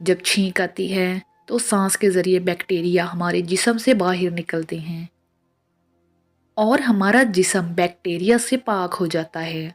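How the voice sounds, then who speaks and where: Slow-paced woman narrating tips over music. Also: fairly low pitch. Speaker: narrator of household and health tips. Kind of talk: scripted narration of short tips.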